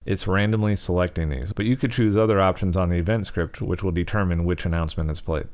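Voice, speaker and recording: Deep-voiced man narrating a software tutorial. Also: quick pace.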